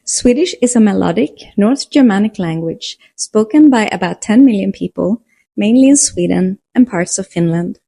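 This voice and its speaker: A woman narrating a language lesson in a slow, measured way. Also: medium pitch, expressive, gravelly, dark tone, no room sound.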